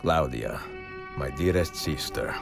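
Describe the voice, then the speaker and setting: An actor with an Italian accent playing a grave, dignified older assassin, narrating a letter in a solemn, measured, formal manner. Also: male voice, very deep voice, creaky, exaggerated voice. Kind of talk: video game letter narration. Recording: loud music behind the voice.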